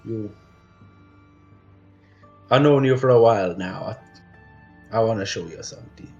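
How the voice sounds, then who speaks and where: A man's voice: on the low side, measured pace, a little mellow, highs cut off, soft background music. Man putting on a laid-back Jamaican lizard islander. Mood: friendly, confiding. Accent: put-on Jamaican. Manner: laid-back, chatty, says "man" and "you know". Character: friendly lizard folk islander who loves whiskey and sunshine.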